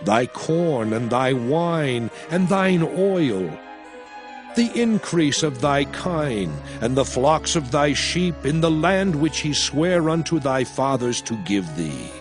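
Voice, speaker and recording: A slow, stern lawgiver. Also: male voice, fairly high pitch, sing-song, over music. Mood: solemn. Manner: slow, solemn and declamatory. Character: stern aged lawgiver addressing his people. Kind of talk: dramatized scripture reading.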